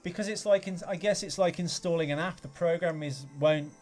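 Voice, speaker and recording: A man's voice: on the high side, brisk, darkish tone. A man co-hosting a podcast, chatting casually about films. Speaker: podcast co-host. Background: background music.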